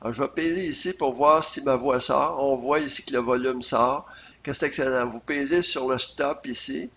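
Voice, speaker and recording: A man's voice: mid-range voice, normal speed, a bit bright, slight background noise. A man with a Quebec French accent narrating a casual screencast tutorial step by step, with some hesitation, on a USB computer microphone. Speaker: software tutorial presenter.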